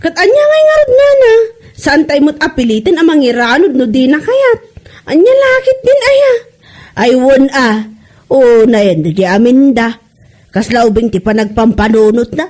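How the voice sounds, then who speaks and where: A woman acting a gossipy, meddling friend and neighbour, chatty and animated, in a comedy drama. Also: sits high, normal speed.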